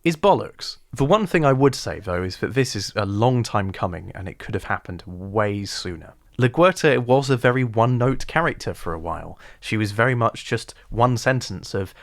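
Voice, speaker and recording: A man with an English RP accent narrating a scripted video essay in a wry, sarcastic, animated way. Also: lowish voice, moderate pace, few pauses.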